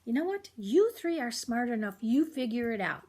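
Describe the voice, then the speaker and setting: Older lady doing an easygoing teasing mom from a storybook, breezy, highish husky voice. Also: very animated, normal speed, a little mellow. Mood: encouraging. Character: teasing, easygoing mother who trusts the kids.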